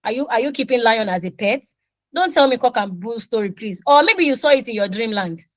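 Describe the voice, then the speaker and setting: A woman's voice: fairly high pitch, brisk, dry recording. A Nigerian woman in her thirties, a native Yoruba speaker, teaching an online English class and playfully acting out example dialogues. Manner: animated, acts out little dialogues with students.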